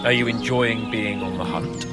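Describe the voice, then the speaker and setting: A man's voice: fairly low pitch, normal speed, over music, lightly acted. A man playing a spoiled, hot-tempered young nobleman in a video game, sneering and pompous in a theatrical way. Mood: haughty sarcasm. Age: young adult. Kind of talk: video game cutscene acting.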